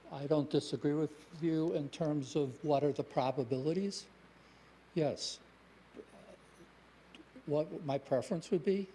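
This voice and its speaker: A man speaking as a developer at a public planning meeting, explaining his plans in a measured way with fillers. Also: mid-range voice, vocal fry, dark tone, a little hiss or hum, rough recording.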